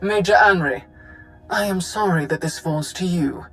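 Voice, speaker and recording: A performer playing an authoritative, high-ranking Sith lord in a video game, speaking formally and giving clipped orders. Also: moderate pace, a bit bright, soft background music, close to an everyday voice, low-quality recording. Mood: commanding. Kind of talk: dramatic video game acting.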